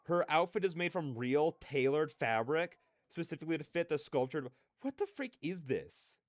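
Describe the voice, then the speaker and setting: A man's voice: on the high side, sing-song, moderate pace, no echo, studio quality. A man hosting a livestream, chatting casually in a rambling, slangy, digressive way. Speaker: livestreamer hosting a reaction stream.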